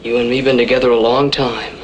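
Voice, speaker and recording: A man's voice: medium pitch, level tone, a little fast, muffled recording, natural voice. A man playing an earnest, loyal young cowboy in an old-time radio western, speaking plain country talk. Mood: torn, sincere. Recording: faintly noisy old radio recording.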